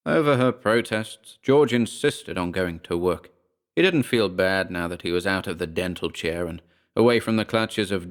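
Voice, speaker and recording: A man with a fairly low voice reads a horror story as its narrator. His delivery is measured and dramatic, shaped as scripted storytelling.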